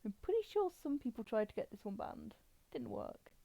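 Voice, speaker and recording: A woman's voice: mid-range voice, huge pitch swings, moderate pace, vocal fry, dark tone. A woman hosting a live stream with casual, rambling, explanatory commentary.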